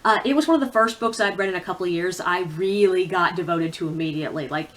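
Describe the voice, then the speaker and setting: A woman's voice: on the low side, quick pace, fairly bright tone. A woman talking casually and candidly to viewers as a book reviewer, with opinionated, informal asides. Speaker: book reviewer on a video channel. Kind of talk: casual chatty vlog talk about books.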